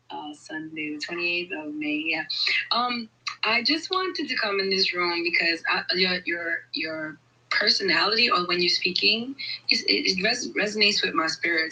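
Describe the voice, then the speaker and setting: A woman from Brooklyn, calling in to share her personal testimony, eager and halting. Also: lowish voice, bright, forceful tone, husky, poor audio. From Brooklyn, New York. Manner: eager, halting, many fillers. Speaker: guest caller.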